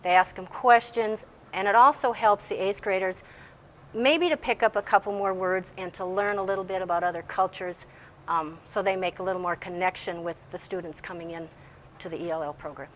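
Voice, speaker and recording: A woman's voice: on the low side, steady tone, a little fast. A woman teacher giving plain-spoken, informative answers in an interview, explaining how things work. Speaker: English language teacher. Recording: faint background noise.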